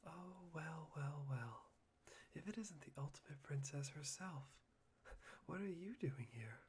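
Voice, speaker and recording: A performer playing a polite but unsettling young male student, breathy and softly teasing, in an ASMR roleplay. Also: mid-range voice, expressive, takes it slowly, a little hiss or hum. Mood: sly, teasing. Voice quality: breathy, husky.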